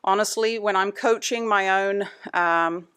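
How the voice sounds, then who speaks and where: A woman's voice: medium pitch, measured pace, sharp tone, clean tone. A middle-aged woman from Australia with an Australian accent, giving a chatty presentation lecture and laughing between points. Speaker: anesthesia department leader giving a talk. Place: conference room.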